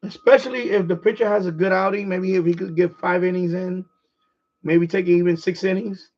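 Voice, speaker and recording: A middle-aged man from New York with a New York accent, hoarse, chatting casually on his livestream and restarting his phrases as an eager sports fan. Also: high voice, fairly even intonation, brisk. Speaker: livestream host. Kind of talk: casual rambling chat. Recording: dull lo-fi stream audio.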